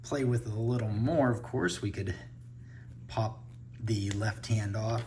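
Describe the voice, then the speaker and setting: A man's voice: fairly low pitch, a little slow, dark tone, slight background noise. A man giving a casual unboxing review, thinking aloud as he handles the toys. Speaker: toy reviewer.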